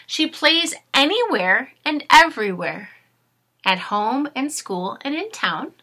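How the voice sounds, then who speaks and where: Female teacher, thirties, fairly high bright voice, expressive and direct, explaining things to young listeners. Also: takes it slowly. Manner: expressive, instructive, addressing children directly. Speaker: teacher reading aloud to children. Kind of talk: storybook read-aloud with grammar explanations. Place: small furnished room at home.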